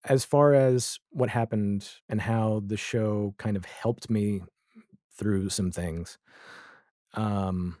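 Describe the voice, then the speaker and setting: Low-voiced man talking reflectively, dry recording. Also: steady tone, slow, warm tone, no room sound. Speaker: podcast guest. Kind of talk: casual, reflective interview conversation.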